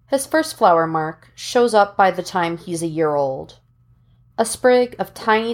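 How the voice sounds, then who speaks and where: A woman narrating fan fiction as an audiobook reader, in measured, deliberate phrases with dramatic pauses. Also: fairly low pitch.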